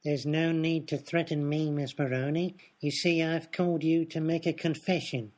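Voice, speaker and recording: An actor playing a calm, cagey criminal who speaks matter-of-factly in a comedic radio play. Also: male voice, a little high, moderate pace, lightly acted. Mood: calm, explanatory. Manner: unruffled, matter-of-fact, cagey. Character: calm, cagey mystery man confessing to murder.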